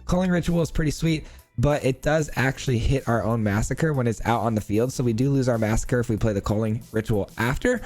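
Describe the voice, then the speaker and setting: Hoarse male host, mid-pitched, casual and friendly deck talk over faint background music. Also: darkish tone. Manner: upbeat, thankful, chatty with fillers. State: hoarse from losing his voice. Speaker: card game video host. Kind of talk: casual deck breakdown to camera.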